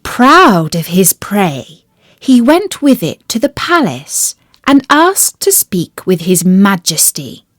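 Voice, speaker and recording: A woman reading a children's fairy tale as a storyteller, with deliberate, expressive phrasing. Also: medium pitch, dry recording, studio quality. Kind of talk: scripted children's storybook narration.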